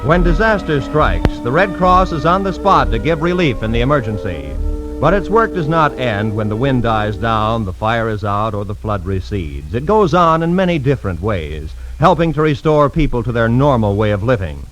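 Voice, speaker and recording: A man's voice: medium pitch, lively intonation, moderate pace, low-quality recording. A man with an American accent reading scripted 1950s radio announcements in a polished, emphatic, formal way. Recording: old radio broadcast, music behind.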